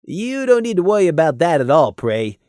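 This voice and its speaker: A man voicing a stern, dutiful male pegasus guard with a gruff, clipped, commanding manner in an audiobook story. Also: a little high, sing-song, moderate pace, darkish tone, dry recording, clean studio sound, pitched above the performer's own voice. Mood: brisk.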